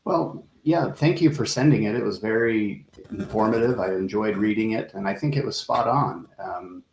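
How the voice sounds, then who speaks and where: A man appearing as a guest expert, answering in a measured, polite and slightly halting way over remote call audio. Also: on the low side, echoey room, slight background noise, low-quality recording. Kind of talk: interview answers.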